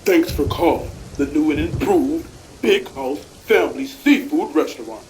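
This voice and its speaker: A man's voice: on the high side, animated, normal speed, noisy background, lightly acted. A man playing a blustery, suspicious restaurant boss in a radio play, with folksy, bossy, colloquial speech. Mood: cheerful, polished.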